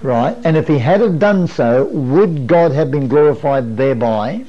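A man's voice: fairly high pitch, lively intonation, measured pace. An older man leading a camp meeting Bible study in a wood-paneled room, asking his listeners questions and repeating their answers. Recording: dull lo-fi 1980s videotape.

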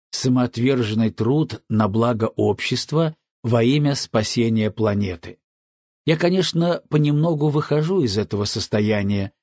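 Husky man reading an audiobook. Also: medium pitch, darkish tone, no echo, studio quality. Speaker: audiobook narrator. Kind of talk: scripted audiobook narration.

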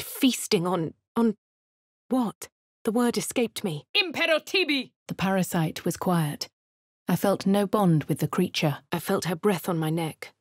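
A woman voicing a posh, plucky young adventurer with a southern English accent, speaking crisply and chanting spells. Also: medium pitch, dry recording, lightly acted. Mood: incanting. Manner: chants spell incantations loudly. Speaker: player character voice. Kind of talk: video game voice lines. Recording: dry studio recording.

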